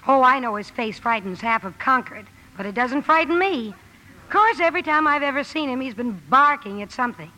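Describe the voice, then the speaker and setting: A woman's voice: sits high, expressive, brisk, pushed and bright. An actress playing a blunt, impulsive young woman in a rambling, self-correcting way, in 1950 radio drama style. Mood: frank, earnest. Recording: noisy vintage 1950 radio broadcast.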